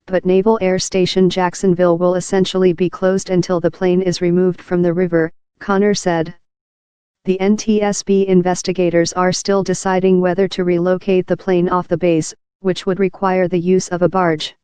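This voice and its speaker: A woman reading scripted news narration in a flat, impersonal manner. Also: fairly low pitch, smooth, no echo. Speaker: news reader.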